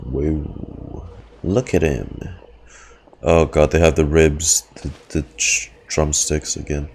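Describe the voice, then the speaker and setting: A man's voice: extremely low pitch, steady tone, takes it slowly, darkish tone, husky. A man giving casual live reaction commentary as a game streamer. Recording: game music behind voice.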